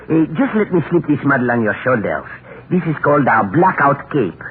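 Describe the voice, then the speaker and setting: A man's voice: mid-range voice, big pitch swings, fairly quick, lightly acted. An actor playing a suave, fawning French department store salesman, effusive and obsequious, in a 1940s radio comedy. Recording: tinny, noisy 1940s radio recording.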